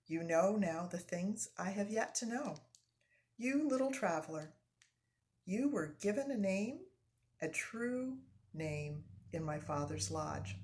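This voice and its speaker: Woman playing young male canoe maker, storybook, proud, tender, solemn, measured, slow. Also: lowish voice, a little mellow, slight background noise.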